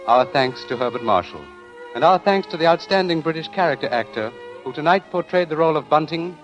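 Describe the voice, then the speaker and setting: Old-time radio announcer, male, fairly high and animated, formal, on a dull recording. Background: faint music.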